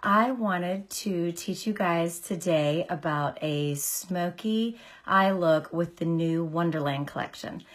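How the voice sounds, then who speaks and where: A woman in her forties, a makeup artist, chatting through a casual makeup tutorial on a phone camera, her voice deeper as she recovers from illness. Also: slow. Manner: chatty, filler-heavy, greets viewers by name. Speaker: makeup artist and beauty seller. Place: small indoor room. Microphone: phone camera at arm's length.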